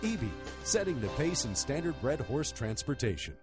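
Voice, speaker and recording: A male announcer reads a scripted commercial with lively intonation. His delivery is smooth and measured, with music playing underneath. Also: medium pitch.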